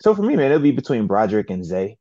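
A man with a low, mellow voice talks football in an easy, slangy, unhurried way, weighing his options out loud. The sound is dry and a little dull, as if from a modest podcast setup. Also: sing-song, dry recording. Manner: laid-back slangy talk, measured and deliberate. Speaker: co-host, football analyst. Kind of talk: casual sports chat.

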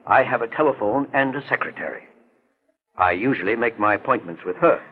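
An actor playing a kindly, shrewd older investigator in a 1940s radio detective drama, courteous and dryly questioning. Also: male voice, mid-range voice, expressive, fairly quick, husky. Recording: dull, muffled 1940s radio recording.

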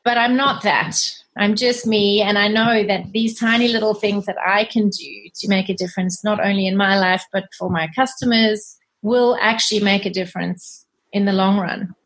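A middle-aged woman with a South African accent talks through her ideas in an earnest, self-deprecating way. She sometimes pauses to restart a thought. Her pitch sits in the middle for a woman. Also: steady tone, poor audio. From Zimbabwe and South Africa. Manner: earnest, hesitant, self-deprecating, laughs softly. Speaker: cleaning company cofounder, guest. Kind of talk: casual interview chat.

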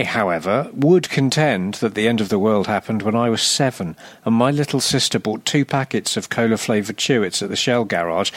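A man's voice: fairly low pitch, dry recording. A man reading a scripted comic narration in a dry, mock-serious manner, prone to grand overstatement. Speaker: writer and reader of a comic A to Z segment.

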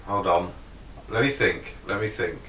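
A man co-hosting a podcast, teasing the others with deadpan jokes. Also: deep voice, unhurried, recorded from a distance, slight background noise. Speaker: podcast co-host. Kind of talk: casual chat. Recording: echoey room, a bit off mic.

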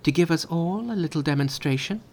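Young male disciples, voiced by a man, high, eager, storybook. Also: lively intonation, brisk, darkish tone. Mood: coaxing.

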